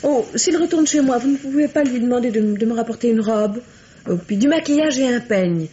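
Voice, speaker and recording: A woman playing an evasive young murder suspect under questioning in a vintage radio mystery, speaking haltingly. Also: fairly high pitch, expressive, mellow, slight background noise, natural voice. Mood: hesitant, pleading. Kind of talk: 1950s French radio mystery acting. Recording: dull, band-limited old radio drama recording.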